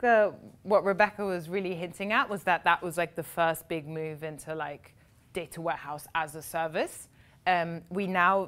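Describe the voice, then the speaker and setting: A young woman answers questions at a tech meetup in a slightly low voice. She explains fluently and briskly, dropping in casual fillers as she goes. She is speaking live in a meeting room with an audience.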